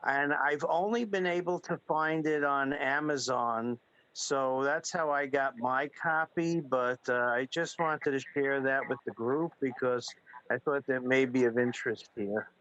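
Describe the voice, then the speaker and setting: A man of medium pitch gives informal remarks as a club member. His phrasing is a little hesitant. The video call audio sounds somewhat dull. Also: fairly even intonation, measured pace, a bit bright. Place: online video meeting. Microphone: video call microphone.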